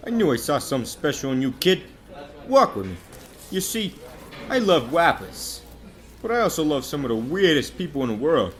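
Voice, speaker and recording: A man's voice: fairly high pitch, big pitch swings, normal speed. A man playing a slick, brash talent scout in an amateur radio drama, with a salesman's hustling patter. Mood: brash, persuasive. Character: fast-talking showbiz scout, slick, brash opportunist.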